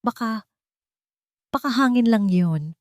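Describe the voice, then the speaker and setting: Earnest young mother, voiced by a woman, lively pitch. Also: slow pace, no room sound, clean studio sound. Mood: frightened, soothing. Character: gentle, brave young widowed mother, anxious but determined. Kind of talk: storybook character voice.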